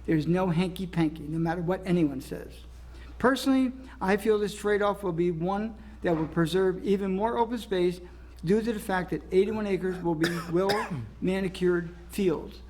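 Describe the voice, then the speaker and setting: A man with a notably high voice chairs a town board meeting from his microphone. He speaks plainly, with slightly halting phrasing. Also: darkish tone, slight background noise, poor audio. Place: town hall meeting room.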